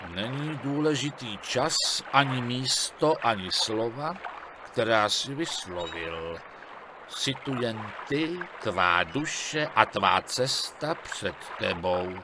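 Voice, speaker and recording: A man voicing a dim-witted, boastful sailor in an adventure game, slow and blustering and easily flustered. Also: medium pitch, lively intonation, noisy background, lightly acted. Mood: pompous.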